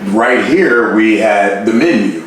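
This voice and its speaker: A man's voice: fairly low pitch, expressive, slow pace, slight background noise. A man in his forties interviewing a filmmaker in an eager, chatty way full of fillers. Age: 40s. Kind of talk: casual interview chat. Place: festival venue. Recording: roomy echo, distant mic, faint ambience.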